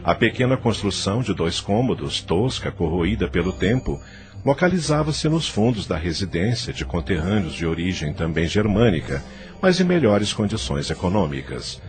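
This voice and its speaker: A man narrates an audio novel in a literary, scripted style with a Brazilian accent. His voice is low and moves expressively, with music behind it. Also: very animated, normal speed, a little mellow.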